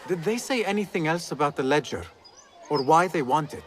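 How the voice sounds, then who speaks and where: A man's voice: fairly high pitch, animated, fairly quick, a bit bright, some chatter behind. A man playing a confident, teasing young street thief in a video game. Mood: earnest. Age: young adult. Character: cocky, playful, warm-hearted young male street thief. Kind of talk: dramatic video game acting.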